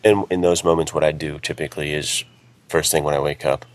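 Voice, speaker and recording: A man's voice: rumbling low, steady tone, fairly quick, a little hiss or hum. A man chatting casually as a podcast co-host and writer, giving practical advice in informal language.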